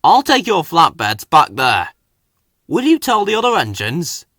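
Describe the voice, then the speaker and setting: A man's voice: a little high, wildly swooping pitch, measured pace, fairly bright tone, dry recording, studio quality, exaggerated voice. A man voicing an eager, kind-hearted little steam engine in a children's storybook. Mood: determined.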